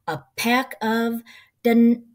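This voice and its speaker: A middle-aged woman reading a children's picture book aloud in an expressive, rhythmic, sing-song way. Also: on the high side, very slow.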